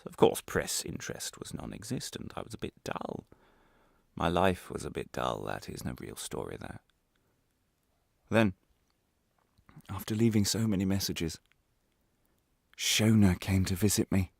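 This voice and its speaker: Man as a wry, aggrieved ex-prisoner, creaky voice. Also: low-pitched, lively intonation, unhurried, long pauses, dry recording, clean studio sound, heavily acted. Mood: wry, hurt. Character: wronged male ex-prisoner, wry, aggrieved, quietly defiant. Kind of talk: radio play monologue.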